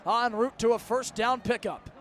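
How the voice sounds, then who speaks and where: A young man calling live college football play-by-play for radio in crisp, clipped descriptive phrases. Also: very high-pitched, moderate pace, a crowd in the background. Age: young adult. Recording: outdoor stadium broadcast with background noise.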